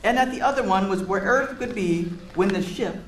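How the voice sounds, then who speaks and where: A man's voice: sits high, moderate pace. A man reading a picture book aloud to children, encouraging them and chatting in asides to explain words and ask questions. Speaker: read-aloud storyteller for children. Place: roomy indoor space with a group of children. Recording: echoey room.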